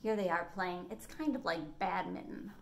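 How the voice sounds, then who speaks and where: Expressive middle-aged woman reading to children. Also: on the low side, normal speed, creaky. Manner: animated storytelling with dramatic emphasis. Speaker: library storytime host. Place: living room at home, indoors.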